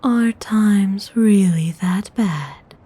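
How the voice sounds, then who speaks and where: A woman playing an aristocratic lady vampire in an ASMR audio roleplay, speaking softly in a formal, refined, half-whispered way, haughty yet benevolent. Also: medium pitch. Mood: cool, composed. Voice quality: soft, breathy, half-whispered. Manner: unhurried, formal, coolly refined.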